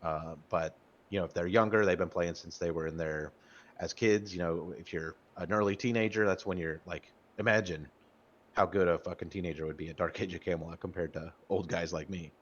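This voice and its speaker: Casting: low-voiced man in his 30s, loose rambling podcast talk, muffled lo-fi sound. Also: fairly even intonation, a little fast. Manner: rambling, stammering restarts, swears freely. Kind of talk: casual gaming chat. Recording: muffled lo-fi audio.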